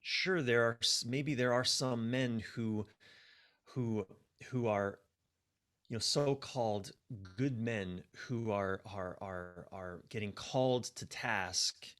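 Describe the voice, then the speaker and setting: A middle-aged man talking reflectively and conversationally as a podcast guest, emphatic, often halting and repeating words. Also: mid-range voice, fairly bright tone. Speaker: podcast guest, life and relationship coach. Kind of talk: conversational, reflective interview talk.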